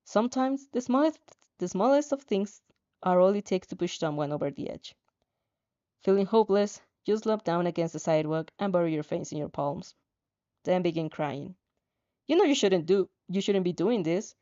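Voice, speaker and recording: A woman's voice: on the low side, lively intonation, moderate pace, mellow, dull audio. A woman casually reading a story aloud and reacting as she goes, giggly and prone to stumbling over the words. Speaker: host reading and reacting to fan fiction.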